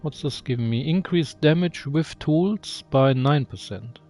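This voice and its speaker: A man's voice: on the high side, measured pace, a little mellow, soft background music. A man giving casual gameplay commentary, musing aloud, reading menu text and asking himself questions. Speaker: video game player and channel host.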